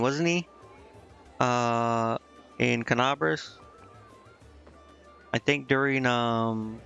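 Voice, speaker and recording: Smooth-voiced man casually streaming a game. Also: mid-range voice, a bit bright, muffled recording. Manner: slangy, irreverent. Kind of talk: casual off-the-cuff gameplay commentary.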